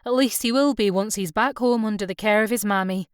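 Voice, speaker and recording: A woman voicing a steady, fair-minded Scottish skipper in his mid-thirties, direct and reassuring, in an audiobook. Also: medium pitch, brisk, smooth, dry recording, studio quality, lightly acted. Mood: brisk, reassuring. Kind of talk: audiobook character dialogue.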